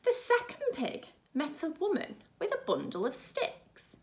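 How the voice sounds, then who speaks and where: A woman's voice: high-pitched, wildly swooping pitch, unhurried. A woman in her thirties, a primary school teacher, reading expressively to young children. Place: small furnished room at home, indoors.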